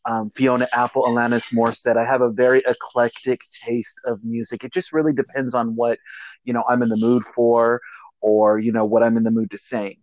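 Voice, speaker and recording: A chatty, upbeat man hosts a casual interview with a voice of middling pitch. The recording is dry and close, with no room sound. He asks his questions in an easy, friendly flow. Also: little pitch movement, fairly quick, darkish tone, no echo, rough recording. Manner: upbeat, chatty, laughs often. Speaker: host of singing competition group.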